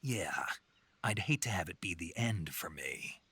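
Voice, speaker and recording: An actor playing a gruff, terse soldier on a covert mission in a video game, with a gravelly voice. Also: male voice, deep voice, animated, measured pace, a bit bright, exaggerated voice. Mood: terse, guarded. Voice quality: gravelly, husky. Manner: terse, dry. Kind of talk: video game radio-call dialogue acting.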